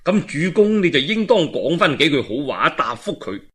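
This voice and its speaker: A man with a Cantonese accent voicing a shrewd, composed male military adviser in a measured, persuasive manner. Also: a little high, sing-song, fairly bright tone. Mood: calm, persuasive.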